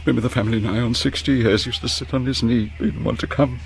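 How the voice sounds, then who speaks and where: A British actor playing a grieving, remorseful gentleman squire in a radio drama. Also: mid-range voice, fairly even intonation, quick pace, creaky, a little hiss or hum, natural voice. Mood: grief-stricken remorse.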